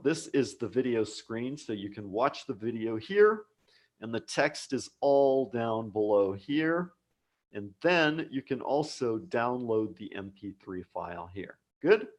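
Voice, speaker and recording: Slow, patient American man teaching. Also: medium pitch. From United States. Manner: slow, patient, repeats key words for learners. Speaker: English teacher. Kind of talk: live-streamed English lesson. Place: home office abroad.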